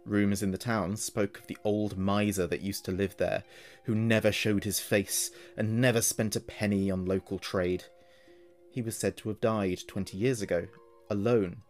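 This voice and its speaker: A man with a fairly low voice reads a scripted horror tale as a podcast narrator. His delivery is measured and deliberate, in the manner of a storyteller. Music plays behind his voice. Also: fairly even intonation, darkish tone.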